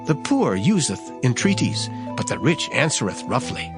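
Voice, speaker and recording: Male Bible audiobook reader, solemn and measured, with lively intonation over plucked guitar. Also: mid-range voice. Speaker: scripture reader. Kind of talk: dramatized Bible audiobook reading. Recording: guitar music behind the voice. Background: plucked guitar music.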